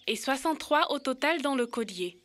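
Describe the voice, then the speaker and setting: High-voiced eager cartoon girl. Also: animated, moderate pace, fairly bright tone, soft background music. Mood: concentrating. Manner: explains step by step. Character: Kibena, eager young girl learning to count. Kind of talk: French-dubbed educational children's cartoon.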